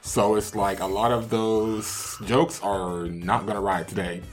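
A man's voice: fairly low pitch, fairly even intonation, unhurried, soft background music. A man co-hosting a podcast in loose, casual conversation. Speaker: podcast co-host. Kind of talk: casual chat.

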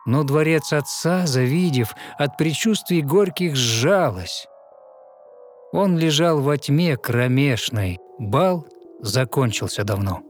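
A man narrating a fairy tale in verse as a storyteller, with measured, rhythmic, dramatic delivery. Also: fairly high pitch, expressive, soft background music. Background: musical score.

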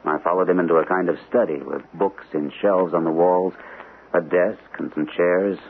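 An actor playing an earnest, troubled army captain in an old-time radio mystery, on a hissy narrow-band broadcast. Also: male voice, low voice, steady tone, moderate pace. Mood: subdued, confused. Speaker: radio actor, also narrating in character.

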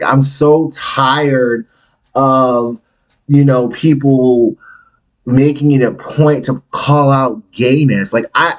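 A man in his late thirties hosting a solo podcast, talking bluntly and candidly with frequent profanity and animated swings in his delivery. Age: 30s. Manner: candid, animated, big swings in pitch and volume. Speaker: podcast host.

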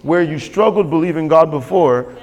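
A man's voice: on the high side, normal speed, darkish tone. A man preaching a sermon as pastor, emphatic and repetitive, calling for responses from the congregation. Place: church sanctuary. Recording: long hall reverb.

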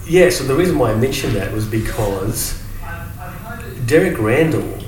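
A man co-hosting, asking questions in a halting way with many restarts. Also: medium pitch, animated, crowd noise. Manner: asks questions, restarts words. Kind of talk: casual interview chat. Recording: distant from mic, roomy, faint hiss.

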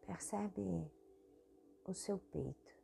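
Slow, low-voiced Brazilian woman guiding meditation. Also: vocal fry. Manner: calm, instructing in short unhurried phrases with long pauses. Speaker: guided meditation podcast host. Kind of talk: guided meditation. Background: meditation music.

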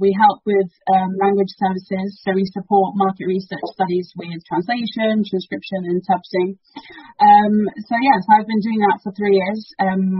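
Husky young woman, lo-fi audio. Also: mid-range voice, no echo. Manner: chatty, many ums and restarts. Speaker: guest. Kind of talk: casual podcast interview. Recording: dull lo-fi audio.

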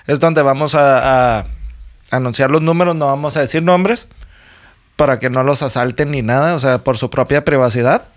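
A man's voice: medium pitch, moderate pace. A young Mexican man co-hosting a podcast, chatting casually in a laid-back, jokey way with lots of slang and frequent laughter. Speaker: podcast co-host. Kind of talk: casual chat.

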